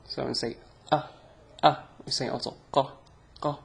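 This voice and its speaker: A man's voice: mid-range voice, steady tone, vocal fry. A young man with an Arabic accent, a native Arabic speaker, giving a chatty, self-deprecating online pronunciation lesson. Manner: slow, chatty, self-deprecating, drawn-out sounds. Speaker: language teacher. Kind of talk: casual online language lesson.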